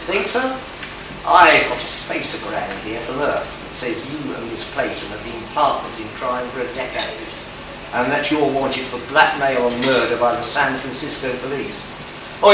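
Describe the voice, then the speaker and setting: A man's voice: medium pitch, close to an everyday voice. A man acting a smug, hard-boiled space detective in an amateur live radio play, declaiming and stumbling over the script. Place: live convention stage, indoors. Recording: distant, echoey, noisy hall recording.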